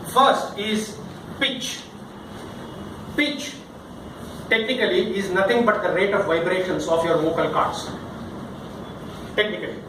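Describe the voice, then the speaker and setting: A man leading an interactive public-speaking lesson as a workshop trainer, with a theatrical, emphatic delivery full of questions. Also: high voice. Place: indoor session room with audience. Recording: echoey room, distant mic, background noise.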